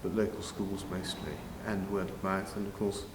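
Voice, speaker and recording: An older man answering interview questions informally, in a measured, halting way with pauses. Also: deep voice, little pitch movement. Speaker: interviewee, village pantomime organiser. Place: large village hall, indoors. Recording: very echoey hall, faint noise.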